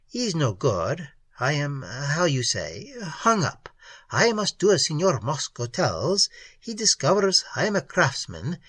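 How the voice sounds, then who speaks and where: A man voicing a meek, bitter little immigrant craftsman in a story reading, with an Italian accent, a husky voice and halting broken English. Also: a little high, wildly swooping pitch, bright, forceful tone, no echo, heavily acted. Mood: plaintive, resigned. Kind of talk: character voice in crime story reading.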